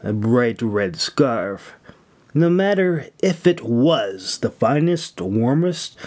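A man who narrates and shares his own audiobook stories, talking with deliberate, broken phrasing and pauses. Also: mid-range voice, a little mellow. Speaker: audiobook narrator and author-creator. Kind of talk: scripted story narration, then casual thanks to listeners.

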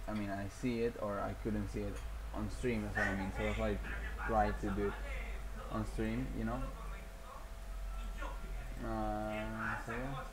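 A man's voice: fairly low pitch, background noise. A man chatting casually on a livestream as a gaming streamer, rambling and hesitant and often repeating himself.

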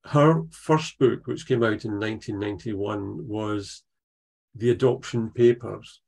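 A man in his sixties with a Dundee Scots accent, a poet leading an online writing workshop over a video call from an attic room at home, thoughtful and unhurried, with frequent restarts. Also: fairly low pitch, gravelly, darkish tone, slightly rough. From Scotland. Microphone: video call, laptop camera.

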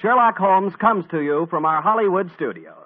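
A man's voice: sits high, lively intonation, moderate pace, noisy background. Upbeat young announcer man on old radio. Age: young adult. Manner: upbeat, smooth salesman patter. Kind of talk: 1940s radio commercial announcing. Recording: tinny old radio broadcast.

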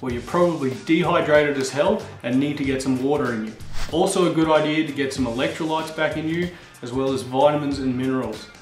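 A man in his thirties hosting a ski gear tutorial, talking straight to camera in a casual, energetic way. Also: medium pitch, fairly quick. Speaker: host. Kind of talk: upbeat instructional vlog. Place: small indoor room with skis on wall. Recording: roomy echo with faint music.

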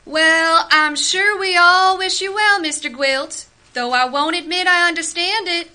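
A woman playing a prim, high-voiced neighbor lady. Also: measured pace, sharp tone, heavily acted. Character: prim churchgoing neighbor woman, Mrs. Staines. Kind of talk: community radio theater acting.

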